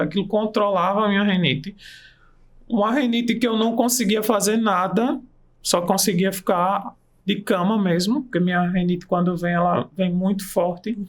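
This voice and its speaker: A man, an aromatherapy practitioner, telling his story with enthusiasm in a casual interview. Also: high-pitched. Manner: enthusiastic storytelling, pace shifts. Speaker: aromatherapist and nurse, guest.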